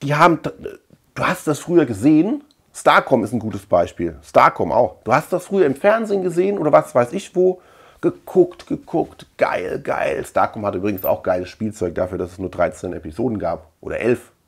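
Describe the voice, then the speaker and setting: A man's voice: mid-range voice, expressive, moderate pace, creaky, husky. A man chatting casually as a video host, rambling colloquially and repeating words for emphasis. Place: small room at home lined with shelves.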